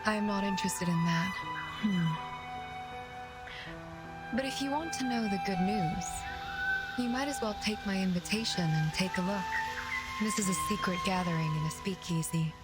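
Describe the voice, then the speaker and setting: An actress playing a serene, aloof arcanist of the woods in a video game, speaking in a measured, formal and courteous way. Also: female voice, medium pitch, natural voice. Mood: calm, detached. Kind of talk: scripted game voice acting. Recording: loud music behind the voice. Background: loud background music.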